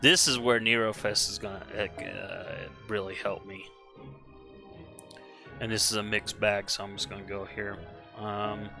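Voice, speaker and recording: A man giving casual, rambling live-stream commentary while playing a game, slightly hoarse and often correcting himself. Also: lowish voice, pushed and bright.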